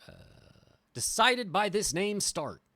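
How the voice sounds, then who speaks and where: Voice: medium pitch, very animated, takes it slowly, bright, forceful tone, no echo, clean studio sound. A streamer playing a visual novel, chatting casually and reading game text aloud in a playful, joking way, often repeating phrases. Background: game music.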